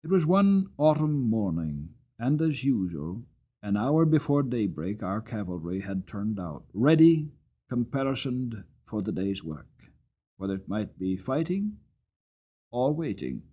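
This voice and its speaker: A man's voice: lowish voice, big pitch swings, measured pace, slightly rough, dull audio, full character voice. Man voicing an earnest, dramatic old war horse. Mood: tense, solemn. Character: old male cavalry horse recounting war, proud and earnest. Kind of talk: dramatic audiobook character reading.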